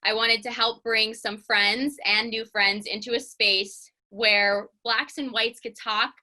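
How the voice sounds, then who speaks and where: Young woman, host, very bright voice, earnest and measured. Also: fairly high pitch, steady tone, poor audio. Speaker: host, sports reporter. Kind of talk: scripted introduction.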